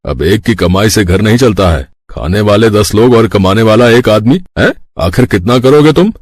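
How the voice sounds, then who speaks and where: अभिनेता की आवाज़ में गरीब घर का बुज़ुर्ग ससुर, सूखी नज़दीकी रिकॉर्डिंग. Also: male voice, fairly low pitch, animated, normal speed, no echo, studio quality. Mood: scolding. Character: grumbling elderly father-in-law of a poor family. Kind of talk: Hindi moral-story cartoon voice acting.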